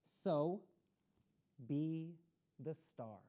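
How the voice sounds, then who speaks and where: A woman's voice: sits low, animated, drawn-out words, mellow. A woman reading a picture book aloud to children on a community TV storytime and encouraging them. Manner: encouraging, talks straight to children. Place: community access TV studio.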